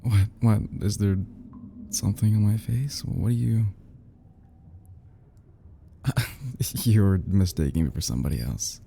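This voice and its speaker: A man playing an obsessive, lovesick kidnapper in his thirties in an intimate audio roleplay, unstable and vulnerable, stammering and halting, slipping into whispers. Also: fairly low pitch, vocal fry, mellow, a little hiss or hum. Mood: confused.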